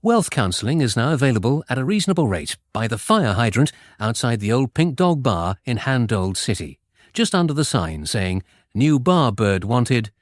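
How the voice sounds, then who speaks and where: Lively British man reading radio credits. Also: medium pitch, moderate pace, dry recording. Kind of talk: scripted, tongue-in-cheek radio announcement.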